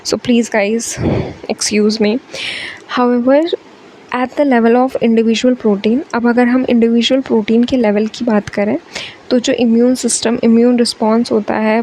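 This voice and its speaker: Young woman, sore throat, fairly high voice, chatty biology lesson, faint background noise. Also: mellow, poor audio. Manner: chatty Hindi-English code-switching, reading English lines slowly. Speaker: biology teacher. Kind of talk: online exam-prep lecture.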